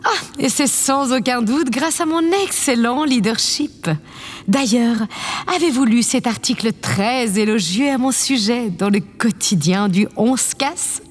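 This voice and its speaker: A woman's voice: on the high side, unhurried. A woman playing a vain, imperious yet affectionate president of a space colony in an audio drama, with a pompous, condescending manner. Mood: smug, playful. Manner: pompous, condescending, theatrically self-satisfied. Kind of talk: scripted audio drama acting. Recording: long reverb, clear background noise.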